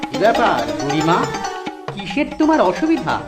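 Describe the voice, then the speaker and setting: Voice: medium pitch, very animated, moderate pace. An actor voicing a wise, stalling village judge in theatrical, singsong cartoon verse. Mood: inquiring. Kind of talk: rhyming cartoon dialogue. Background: music.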